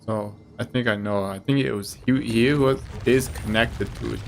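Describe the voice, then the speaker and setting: A man's voice: mid-range voice, steady tone, normal speed, gravelly, a little hiss or hum. A man giving casual live commentary while playing a game as a streamer. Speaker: game livestreamer.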